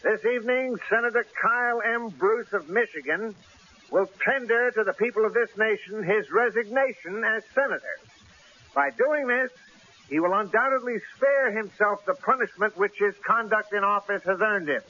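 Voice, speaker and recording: An actor playing a cautious, exasperated newspaper editor in an old-time radio drama, brusque and argumentative. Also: male voice, high voice, normal speed, pushed and bright. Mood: declaiming, reading aloud. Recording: hissy, dull old radio broadcast recording.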